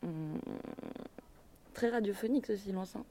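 A woman's voice: mid-range voice, moderate pace, noisy background. A woman chatting casually as a guest in a group interview.

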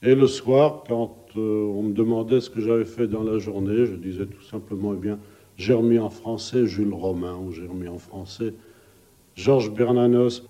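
A man's voice: on the low side, fairly even intonation, normal speed, mellow, dull audio. A man presenting on radio in a theatrical, playful way, slipping into foreign phrases.

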